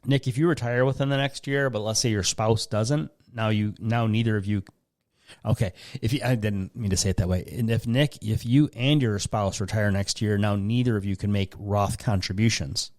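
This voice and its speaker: A man with a Midwestern American accent and a fairly low voice hosts a casual talk show. He wanders through listener questions, breaking off his own sentences and dropping in joking asides. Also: steady tone, moderate pace, a little mellow. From Lansing, Michigan. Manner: rambling, jokey asides, interrupts himself. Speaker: podcast host.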